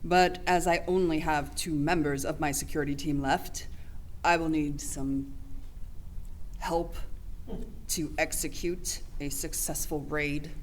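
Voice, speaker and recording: A woman's voice: low-pitched, steady tone, slow, a little hiss or hum. A woman playing a blunt, resentful security chief in a radio play, curt and businesslike. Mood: businesslike, reluctant. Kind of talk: full-cast radio play acting.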